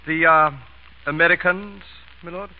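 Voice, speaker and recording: An actor playing a discreet, deferential English valet with soft, liquid tones in a 1930s radio drama. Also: male voice, a little high, steady tone, a little slow, slight background noise, close to an everyday voice. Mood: politely dutiful. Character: deferential English valet, soft-spoken perfect gentleman's gentleman. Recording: dull vintage radio broadcast recording.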